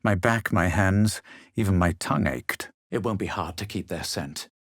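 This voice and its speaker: An actor playing a smug, self-assured male adventurer in a fantasy video game, measured and dryly dramatic. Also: low voice, a bit bright, dry recording, clean studio sound. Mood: wry, composed. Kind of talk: video game character lines and first-person narration.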